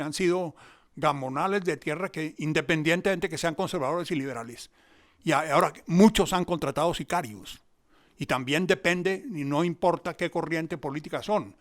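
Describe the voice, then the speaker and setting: A Colombian man speaks off the cuff as an interviewed commentator. His voice sits a little high for a man, and he explains and analyses reflectively as he goes. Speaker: interviewed commentator on theatre and history. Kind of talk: spontaneous analytical talk.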